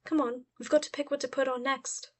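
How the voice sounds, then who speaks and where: Audiobook role: caring grown-up cousin, female, gentle and careful, fond with a wistful edge read by a woman narrator. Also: high voice, flat delivery, brisk, voice pushed up. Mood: reassuring. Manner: gentle, careful, murmured at times.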